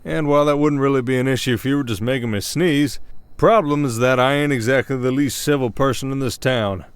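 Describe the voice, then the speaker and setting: A man acts out a weary Old West werewolf sheriff for an ASMR roleplay. He drawls in a folksy Southern American accent, protective and plain-spoken, pausing now and then as he tells things. The sound is close and dry, with an average male pitch. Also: clean tone, no echo, lightly acted. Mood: cautious, wry. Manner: folksy, drawling storyteller with hesitations.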